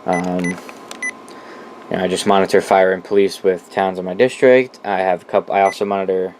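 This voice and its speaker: A man's voice: deep voice, steady tone, moderate pace, a little mellow, a little hiss or hum, low-quality recording. A man presenting a collection in a casual, unscripted way, informal and opinionated, swearing freely. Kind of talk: casual unscripted product walkthrough.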